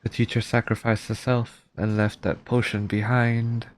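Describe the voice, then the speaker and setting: A woman's voice: rumbling low, level tone, moderate pace, a little hiss or hum. A woman game streamer giving casual, brief reactions while playing.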